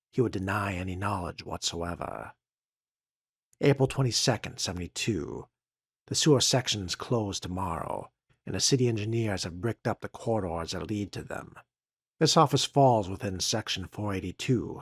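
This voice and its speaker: A man voicing a formal, skeptical 1970s city sewer official reading out his log in a measured, dramatic way for a game. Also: fairly low pitch, breathy edge, dry recording, clean studio sound.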